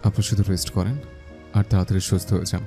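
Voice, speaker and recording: Casting: devoted young husband, deep dark male voice, man acting, anxious and protective, romantic audio story with faint music. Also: flat delivery, quick pace. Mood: reassuring.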